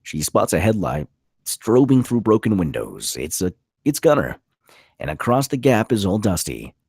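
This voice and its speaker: Male narrator for a screenplay read: low husky voice, very dynamic pitch, punchy clipped delivery. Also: wildly swooping pitch, normal speed, a little mellow, dry recording, studio quality. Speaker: narrator reading stage directions. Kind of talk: dramatic scripted narration.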